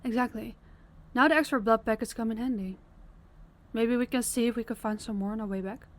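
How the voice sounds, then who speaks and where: A woman playing a kind, practical vampire guide in an audio roleplay, speaking in a warm, reassuring manner. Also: fairly high pitch, a little fast, natural voice. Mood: upbeat, practical.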